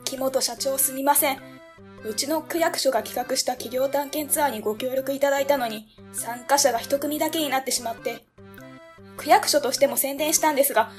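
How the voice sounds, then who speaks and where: A woman's voice: high voice, lightly acted. A woman playing a polite, apologetic young female ward-office clerk in an amateur web radio drama.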